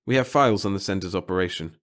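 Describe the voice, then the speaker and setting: A man's voice: deep voice, racing, fairly bright tone. Tall male reporter, read by a man, bored and dry, plain curt delivery, sci-fi audiobook character. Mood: polite, impatient.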